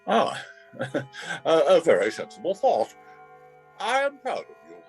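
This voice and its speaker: An actor playing a flustered, blustering gentleman father in a radio play, speaking formally with hesitant stammers. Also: a little high, huge pitch swings, measured pace, husky, faint music behind, heavily acted. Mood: startled, awkward.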